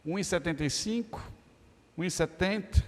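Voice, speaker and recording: A man's voice: high voice, moderate pace. A man from Brazil preaching a sermon in a church, joking one moment and stressing lists of words the next. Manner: humorous, storytelling, emphatic lists. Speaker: pastor. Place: church hall. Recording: church hall reverb.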